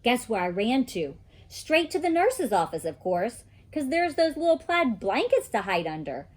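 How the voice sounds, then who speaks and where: An excitable little kindergarten girl , acted out by a woman reading a storybook, chattering with cheeky emphasis. The pitch leaps around in a lively way, with a slightly husky edge to the childlike delivery. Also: normal speed. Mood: excited. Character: excitable, mischievous little kindergarten girl telling her own adventures. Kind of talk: children's storybook read-aloud.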